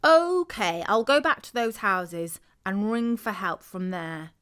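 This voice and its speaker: A woman's voice: mid-range voice, very animated, slow, a bit bright, smooth. A woman voicing a practical, stubborn man in a children's story, speaking matter-of-factly. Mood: decided. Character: practical, stubborn man driving family through forest.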